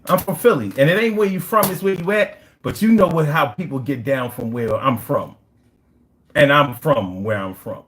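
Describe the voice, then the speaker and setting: A man's voice: mid-range voice, normal speed, a bit bright. A young man from Philadelphia with a Philadelphia accent, chatting casually on a livestream, brash and profane, boasting in street slang. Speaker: livestream host. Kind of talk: casual livestream chat reading comments.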